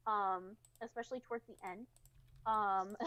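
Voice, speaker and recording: A woman's voice: medium pitch, measured pace, fairly bright tone. A woman telling personal stories in a casual, candid chat with informal wording. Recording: slightly dull, lo-fi audio.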